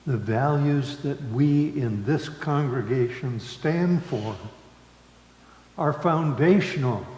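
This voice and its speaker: A man leading worship in a solemn sermon style, speaking deliberately in short phrases with long pauses. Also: medium pitch, dark tone. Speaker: worship leader. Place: large congregation hall. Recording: echoey reverberant hall with background noise.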